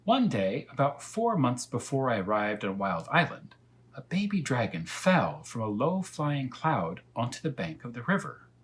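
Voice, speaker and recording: Man reading as earnest storybook cat, animated delivery. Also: mid-range voice, normal speed, voice pushed up, natural voice. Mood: earnest, explanatory. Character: talkative old-fashioned female cat telling a tale. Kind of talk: children's storybook read-aloud.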